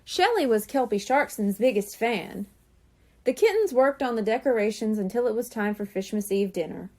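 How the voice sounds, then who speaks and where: Expressive woman reading a children's book. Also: a little high, normal speed. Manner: expressive, animated. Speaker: librarian reading aloud. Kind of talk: children's storybook read-aloud.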